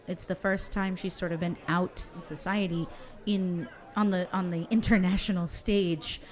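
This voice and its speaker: A woman player in a tabletop game, animated as she describes her character's actions. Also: on the low side, normal speed, a faint crowd. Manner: animated, lots of likes.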